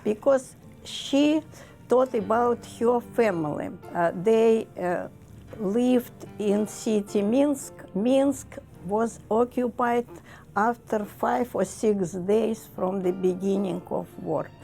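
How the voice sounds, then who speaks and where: An elderly woman in her eighties from Russia speaks slowly and haltingly, using non-native grammar. Her voice is fairly high but mellow, with faint music behind her. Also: creaky. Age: 80s. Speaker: Holocaust survivor, interviewee. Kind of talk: testimony interview.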